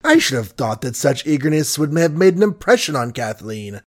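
A man's voice: fairly high pitch, sing-song, moderate pace. A man voicing a young woman who mocks with sweet, suave sarcasm in an audiobook reading. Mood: sweetly mocking. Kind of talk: audiobook character voice.

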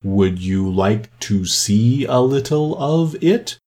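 A man voicing a weepy, sentimental sea turtle in a children's storybook, with slow, drawn-out, mournful delivery. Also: fairly low pitch, expressive, a little mellow. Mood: wistful.

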